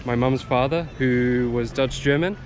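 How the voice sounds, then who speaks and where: A man's voice: mid-range voice, a little slow, background noise. A young man with a New Zealand accent casually telling his own story in an informal vlog, addressing his viewers. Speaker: language-learning vlogger.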